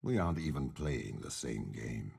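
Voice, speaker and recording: An actor playing a cold, cunning old warlord general in a video game, gravelly and commanding, with measured, disdainful delivery. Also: male voice, very deep voice, expressive, a little mellow, exaggerated voice. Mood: cold, scheming. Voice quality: gravelly, husky. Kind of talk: dramatic game character voice lines.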